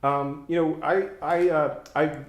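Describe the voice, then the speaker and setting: A man interviewing a guest, curious and quick, with hesitant "like"s. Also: on the high side, steady tone, echoey room. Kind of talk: casual interview.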